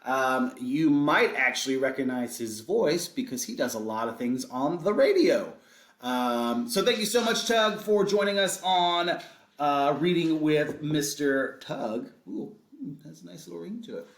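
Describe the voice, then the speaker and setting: A man with a fairly high voice hosts a children's reading show. He talks casually and upbeat, straight to young viewers, and the sound is noticeably roomy and echoey. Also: expressive, unhurried.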